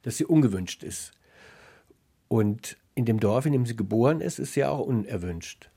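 Husky, mellow male radio interviewer. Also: mid-range voice, lively intonation. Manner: reflective, deliberate questioning. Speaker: host, interviewer.

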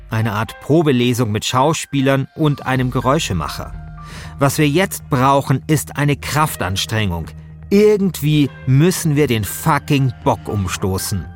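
Man narrating a podcast with lively intonation. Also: mid-range voice. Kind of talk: scripted first-person podcast narration.

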